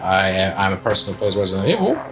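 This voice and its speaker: A young man chatting casually over gameplay, laid-back and joking. His pitch moves a lot, and faint game music plays behind him. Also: sing-song, quick pace. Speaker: gameplay co-host. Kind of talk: casual gaming chat.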